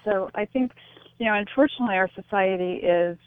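A woman's voice: medium pitch, fairly quick, clear, resonant tone. A woman giving reflective, tentative interview answers as a guest, explaining things through anecdotes and analogies. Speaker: interview guest, author and speaker on suicide loss. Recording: tinny phone line, echoey.

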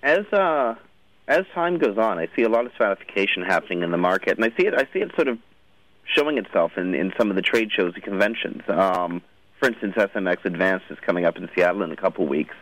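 A man hosting a radio show, asking questions in a casual, halting way and often rephrasing as he goes. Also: deep voice, lively intonation, a bit bright, dry recording, poor audio. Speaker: radio show host interviewing a guest. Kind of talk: casual interview.

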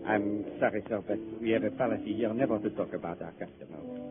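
A man's voice: on the low side, quick pace, lightly acted. Tinny-sounding deferential nightclub waiter played by a man. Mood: guarded, polite. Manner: deferential, saying sir. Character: gossipy male nightclub waiter, turning guarded and formal. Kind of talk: 1940s radio drama acting. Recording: tinny old radio recording, music behind.

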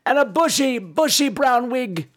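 A man's voice: extremely high pitch, expressive, a little slow, fairly bright tone, slight background noise. A man hosting a podcast, telling comic personal stories in a campy, exaggerated theatrical style with salty, sassy asides. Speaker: podcast host.

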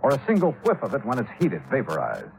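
A tough American detective in a 1950s radio drama. He is clipped and commanding, with a very animated, mid-pitched voice on a vintage broadcast recording. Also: quick pace, creaky, rough recording. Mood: probing, commanding. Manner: clipped, commanding, hard-boiled. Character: tough, probing freelance male insurance investigator. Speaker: narrator and lead actor.

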